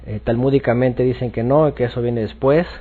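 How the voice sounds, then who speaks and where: A man teaching scripture informally, explaining haltingly and often repeating himself. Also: mid-range voice, fairly even intonation, phone-quality sound. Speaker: religious teacher.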